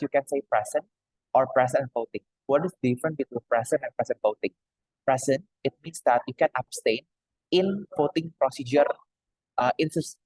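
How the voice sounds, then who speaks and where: A man's voice: medium pitch, dry recording, poor audio. Man, casual explainer, "yeah" tags, dry close sound, video call. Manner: relaxed, frequent "yeah" tags. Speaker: committee chair giving a training session. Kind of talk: casual online presentation.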